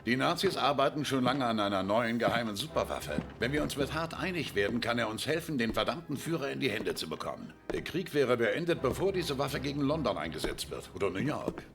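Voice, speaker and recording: An actor playing a stern, commanding British general giving grave briefings in a war game cutscene. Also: male voice, mid-range voice, sing-song, brisk, few pauses. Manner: authoritative, grave. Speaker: video game character in cutscenes.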